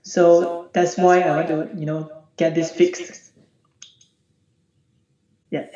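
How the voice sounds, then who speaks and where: A man with a high-pitched, youthful, unbroken voice, recounting his situation in a repetitive, conversational way as a client on an online call. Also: flat delivery, takes it slowly, dark tone. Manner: repetitive, says "you know" often. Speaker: client, accountant. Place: remote video call. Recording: echoey, muffled online call with background chatter.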